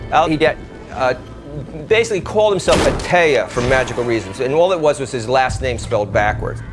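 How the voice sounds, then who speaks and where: A man gives an explanatory documentary interview. His voice is of average pitch and conversational, with music playing clearly behind it. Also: lively intonation, fairly quick.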